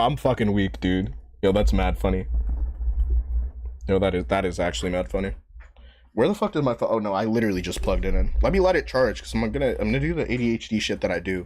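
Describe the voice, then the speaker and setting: Animated New guy streaming, tipsy. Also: medium pitch. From New York. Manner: rambling, slangy, profane, says "bro" constantly. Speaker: gaming streamer. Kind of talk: casual live-stream chat while gaming.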